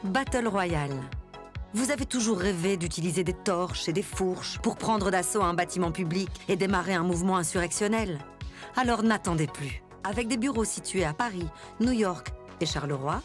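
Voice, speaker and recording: A slick female advert announcer played by an actress, pitched a little low for a woman. She delivers a parody radio commercial in a polished, selling tone. Music plays clearly behind her. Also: close to an everyday voice. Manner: polished, salesy.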